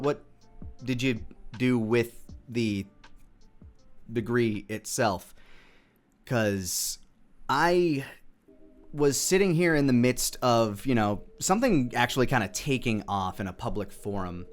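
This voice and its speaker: Faint music plays behind a man with a mid-pitched voice. He talks casually and off the cuff, like a creator commenting on his own work, rambling and questioning himself as he thinks aloud.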